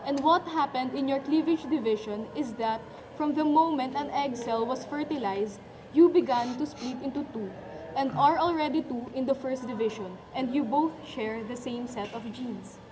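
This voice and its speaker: A woman's voice: high-pitched, moderate pace, lightly acted. A woman playing a friendly doctor who teaches children in a scripted educational puppet show. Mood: explaining. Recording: amateur recording with faint background noise.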